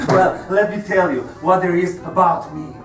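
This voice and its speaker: Guy doing an explosive foul-mouthed cop, pretty high voice, far from the mic, music behind. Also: echoey room. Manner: profane, mocking, explosive. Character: volatile police detective interrogating a prisoner, menacing, self-righteous. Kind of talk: theatrical monologue performance.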